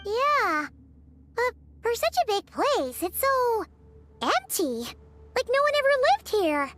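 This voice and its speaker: A woman voicing a small, cheerful fairy companion in a video game, with a squeaky childlike voice and bubbly manner. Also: big pitch swings, slow, heavily acted. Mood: musing. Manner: refers to self in third person, bubbly.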